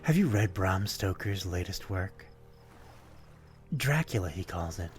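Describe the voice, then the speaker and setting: Man playing a slow, brooding, courtly vampire. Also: deep voice, animated, faint music behind. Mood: quietly menacing. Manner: old-fashioned, polite, brooding, addressing one listener intimately. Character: melancholy, courtly male vampire stranger, softly predatory. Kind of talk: ASMR roleplay, dramatic monologue.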